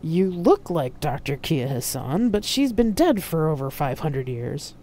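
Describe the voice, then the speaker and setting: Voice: mid-range voice, very animated, measured pace, warm tone, a little hiss or hum. A narrator doing a blustering, hot-tempered male admiral. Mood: disbelieving.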